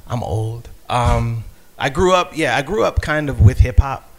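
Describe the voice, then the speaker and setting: A man's voice: medium pitch, unhurried. A man in his forties from Brooklyn, New York, a guest artist in a live podcast interview, who talks in a playful, joking way. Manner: playful, animated, jokey. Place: art space with a small live audience.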